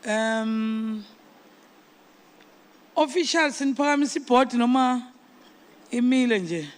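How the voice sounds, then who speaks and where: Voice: medium pitch, takes it slowly. A South African speaker chairing a meeting, calling on people informally and mixing English with Nguni phrases. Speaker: congress chairperson.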